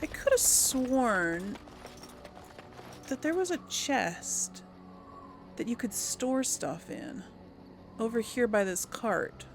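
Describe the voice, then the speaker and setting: A woman doing casual let's play commentary, musing aloud in a halting way. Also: fairly high pitch, sing-song, creaky, a little hiss or hum. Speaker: gaming channel host.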